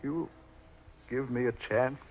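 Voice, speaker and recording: A man playing an older, frightened, wicked millionaire, husky and pleading, in melodramatic old-time radio acting. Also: mid-range voice, fairly even intonation, takes it slowly, natural voice. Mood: disbelieving, eager. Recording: tinny vintage radio recording with music.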